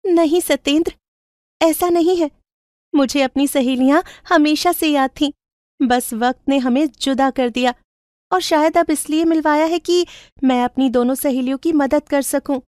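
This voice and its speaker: A woman voicing a rich, warm-hearted, bossy young woman in storybook cartoon dialogue, animated and affectionate. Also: high voice, moderate pace, dry recording, clean studio sound, pitched above the performer's own voice, lightly acted. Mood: earnest.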